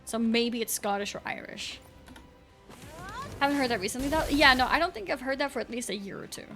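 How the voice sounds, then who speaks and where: A woman's voice: on the high side, lively intonation, a little fast, a bit bright. A young Swedish woman streaming and chatting casually, with an American-leaning accent, rambling and thinking aloud. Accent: American-leaning English. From Sweden. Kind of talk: casual chat with viewers while gaming. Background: game music.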